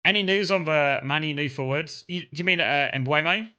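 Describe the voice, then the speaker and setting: Bright-voiced young Englishman chatting casually. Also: on the high side, normal speed, clear, resonant tone, highs cut off. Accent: southern English. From England (Kent). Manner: rambling, relaxed, many fillers and restarts. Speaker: streamer. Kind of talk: casual chat with stream viewers.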